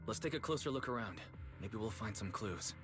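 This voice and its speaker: An actor playing a calm, thoughtful young investigator in video game dialogue. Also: male voice, on the low side, fairly quick, over music, lightly acted.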